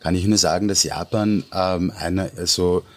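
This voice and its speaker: A man with an Austrian accent explaining as an expert guest in conversation, halting and searching for words yet emphatic. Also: deep voice, steady tone, a bit bright. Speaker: interview guest, Japanologist and translator.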